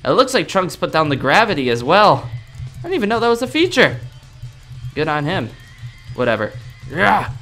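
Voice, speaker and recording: Man playing a bright-voiced, exasperated young anime hero. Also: a little high, sing-song, moderate pace, pauses often, faint music behind, exaggerated voice. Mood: cocky, combative. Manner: grumbling slangy monologue, talking to himself. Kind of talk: improvised video game roleplay.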